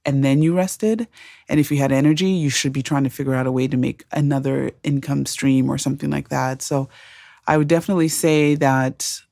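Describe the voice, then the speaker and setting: A middle-aged woman from Zimbabwe co-hosting a podcast, sharing personal stories in a calm, thoughtful and earnest way. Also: rumbling low, brisk, vocal fry, dry recording, studio quality. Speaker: podcast co-host. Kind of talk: reflective conversational storytelling.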